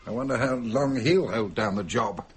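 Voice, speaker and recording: An actor playing a sardonic, exasperated police superintendent in a radio drama, with a dry, sceptical, teasing manner. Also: male voice, mid-range voice, moderate pace, slight background noise, lightly acted.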